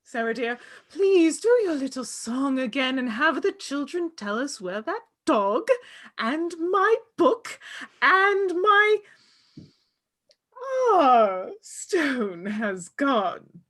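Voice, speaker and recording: A woman's voice: high voice, sing-song, takes it slowly, a bit bright, heavily acted. A woman playing a vain, short-tempered eldest witch sister, theatrical and haughty in her archaic wording, in a script read. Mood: imperious.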